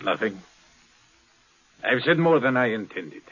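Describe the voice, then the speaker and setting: A man's voice: mid-range voice, big pitch swings, fairly bright tone, slightly rough, full character voice. An actor playing a bitter, guarded pilot in his thirties, speaking curtly in a 1950s radio drama. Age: 30s. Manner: curt, brooding, deliberate. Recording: dull, lo-fi 1950s radio recording.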